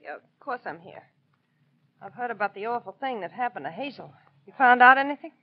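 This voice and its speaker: Hurt young rodeo rider, 1940s radio play, highish voice, dull old sound. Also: female voice, lively intonation, brisk. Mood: anxious. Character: injured young woman rodeo rider in hospital. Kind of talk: 1940s radio drama acting.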